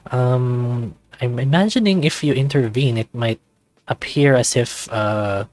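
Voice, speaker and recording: A man's voice: medium pitch, no room sound. A man casually reading a visual novel aloud and commenting on it as he plays. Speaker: let's player of a visual novel. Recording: music playing behind close dry voice.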